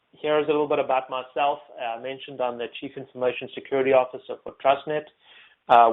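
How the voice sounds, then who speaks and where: South african guy hosting a webinar panel, lots of ums, sounds like a phone line. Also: medium pitch. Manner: many ums and uhs, easygoing. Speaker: webinar host and moderator. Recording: phone-like narrow audio.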